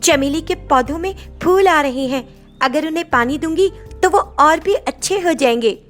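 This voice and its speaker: A woman voicing a gentle, poor young servant girl with a meek, pleading manner in a story. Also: high-pitched, moderate pace, faint music behind, pitched above the performer's own voice, natural voice. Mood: meek, explaining.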